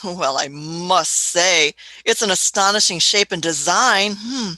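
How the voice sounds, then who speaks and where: A woman's voice: on the low side, slow pace, bright, forceful tone, dry recording. A woman playing an eccentric, curious elderly time traveller who mutters and hums while she thinks, in an amateur radio play. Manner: muttering hmms, musing, hesitant restarts.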